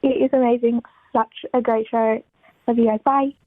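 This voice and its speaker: A woman speaks casually in a tinny phone message left for a show. Her voice is fairly high, and the recording is dry. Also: fairly even intonation, normal speed, no room sound. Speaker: listener leaving a voice message. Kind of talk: casual recommendation chat.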